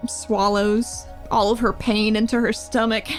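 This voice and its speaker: A woman's voice: mid-range voice, level tone, unhurried, soft background music. A woman chatting casually as a player in a tabletop roleplaying game, describing her character and asking questions.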